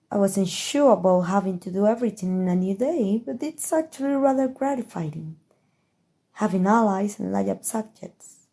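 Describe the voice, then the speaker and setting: A woman's voice: mid-range voice, creaky, mellow. A woman playing a haughty, scheming evil queen in an ASMR roleplay, with a regal, theatrical, condescending manner. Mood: condescending, candid. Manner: regal, theatrical, condescending, drawling with amused disdain. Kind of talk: ASMR roleplay audio drama.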